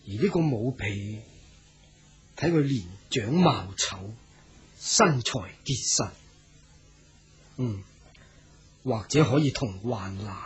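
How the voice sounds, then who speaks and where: A high-minded young wandering gentleman in a Cantonese period radio drama with a Hong Kong accent. His speech is formal and humble, unhurried, with lively swings of pitch. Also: a little hiss or hum. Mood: hesitant, pondering. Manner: formal, humble, archaic courtesy.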